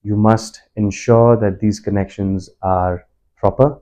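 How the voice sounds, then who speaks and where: Male reviewer, low-pitched with a very soft, relaxed tone, conversational and explanatory. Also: takes it slowly. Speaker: product reviewer and motorbike commuter. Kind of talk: casual spoken product review.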